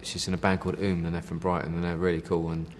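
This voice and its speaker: A very low-voiced man talks fast and loose, piling up fillers and asides as he chats in an interview. He sounds a little distant in a space with a long reverb tail, over a faint background hiss. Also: flat delivery. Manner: rambling, many fillers, says "you know" and "mate". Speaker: band member interviewed. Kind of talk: casual interview chat.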